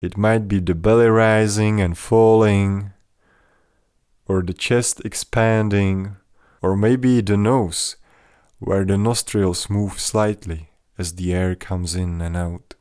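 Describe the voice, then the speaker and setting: Slow, low-voiced man guiding meditation. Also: fairly even intonation, clear, resonant tone, dry recording, clean studio sound. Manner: gentle, measured, instructional. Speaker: meditation guide. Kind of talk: guided mindfulness meditation.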